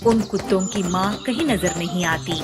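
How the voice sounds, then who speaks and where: A woman's voice: on the low side, moderate pace. A woman narrating a children's story as a storyteller. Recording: clear music behind the voice.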